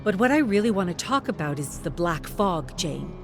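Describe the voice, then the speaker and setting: A woman plays an earnest mother appearing as a guest in a dramatic story. She speaks with insistent emotion and lively pitch, with music underneath. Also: normal speed. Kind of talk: dramatic audiobook character voice.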